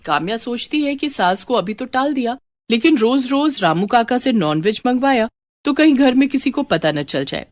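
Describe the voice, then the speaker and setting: A woman's voice: a little high, expressive, normal speed, no room sound. A woman narrating a scripted story as its storyteller.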